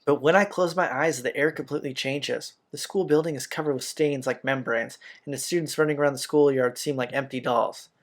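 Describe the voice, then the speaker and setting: A man's voice: medium pitch, fairly even intonation, a little fast, hardly any pauses. A man hosting a let's-play, reading a visual novel's narration aloud. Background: game music.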